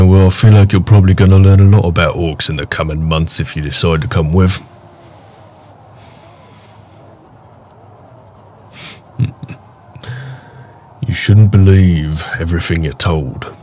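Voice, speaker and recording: A man playing a big, gruff, protective orc raider with a wry, casual manner in a fantasy roleplay audio drama. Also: sits low, a little mellow, a little hiss or hum, lightly acted. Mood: wry, teasing. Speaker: voice actor.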